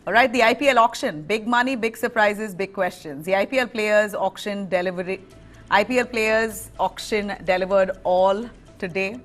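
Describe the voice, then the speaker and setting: A woman's voice: medium pitch, normal speed, faint music behind. Indian woman anchoring a live news bulletin.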